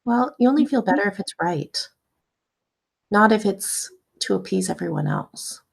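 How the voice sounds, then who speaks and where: A woman in a casual conversation, speaking gently and supportively and asking questions. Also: medium pitch, animated, normal speed, gravelly, slightly rough. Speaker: friend and co-guest.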